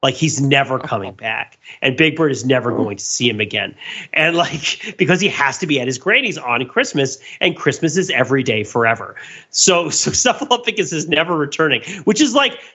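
A man hosting a casual comedy podcast, retelling stories in an animated, rambling way full of tangents. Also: mid-range voice, moderate pace, breathy edge. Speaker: podcast host. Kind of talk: casual comedic pop-culture discussion. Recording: dull lo-fi podcast audio.

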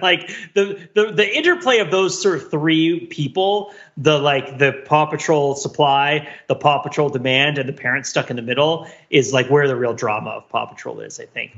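A man's voice: medium pitch, gravelly, a bit bright, muffled recording. A man co-hosting a podcast, riffing in rambling, comedic asides about film in a casual chat. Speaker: podcast co-host.

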